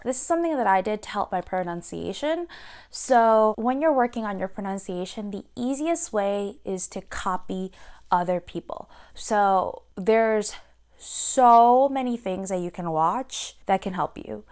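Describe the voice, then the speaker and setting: Chatty young woman with a clear voice. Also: medium pitch. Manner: chatty, animated, playfully acts out her own reactions. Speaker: vlogger giving language-learning tips. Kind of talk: casual to-camera advice.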